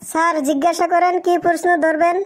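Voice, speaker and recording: A woman's voice: very high voice, flat delivery, fairly quick. A woman voicing a polite but cheeky, stubbornly earnest schoolboy in a comedy cartoon.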